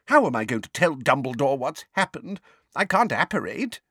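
A man voicing a flustered, scolding elderly woman in an audiobook, exclaiming and fussing in a shrill, indignant way. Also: very animated, moderate pace, fairly bright tone, no room sound, clean studio sound, full character voice. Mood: agitated, fretful. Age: older.

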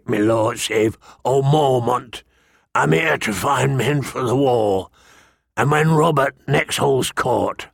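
A man voicing a gruff old watchman in an audiobook, gravelly and husky, rough-spoken yet respectful. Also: medium pitch, no room sound, clean studio sound, exaggerated voice. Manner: slow, rustic, blunt deference. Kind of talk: audiobook character dialogue.

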